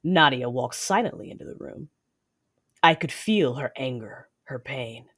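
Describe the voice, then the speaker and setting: A woman's voice: a bass voice, lively intonation, a little slow, slightly rough, heavily acted. A woman playing a cold, vengeful female Sith lord in an audio drama, controlled and menacing as she narrates her deeds. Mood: brooding. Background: music.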